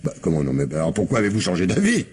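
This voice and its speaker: An actor playing a probing, sceptical and unhurried police commissioner in a radio mystery, dry and measured as he questions. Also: low voice, wildly swooping pitch, a little mellow, slightly rough, heavily acted. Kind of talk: radio mystery drama acting.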